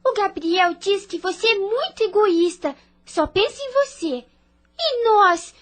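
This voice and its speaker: A woman's voice: extremely high pitch, animated, measured pace. A woman playing a curious, persistent little sister, a young girl who asks and insists, in an audio drama. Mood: challenging. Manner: inquisitive, insistent.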